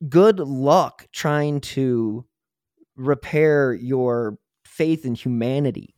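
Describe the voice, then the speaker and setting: A man's voice: medium pitch, extremely slow, a little mellow, no echo, clean studio sound. A man hosting a true crime podcast, talking casually in an earnest, opinionated and colloquial way. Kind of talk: casual conversational interview talk.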